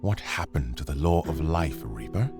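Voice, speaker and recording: A man's voice: very deep voice, lively intonation, moderate pace, music in the background. A man playing a stern, imposing god in a fantasy audio drama, with a theatrical, weighty delivery. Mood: grave, accusing. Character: stern, imposing male god passing judgment.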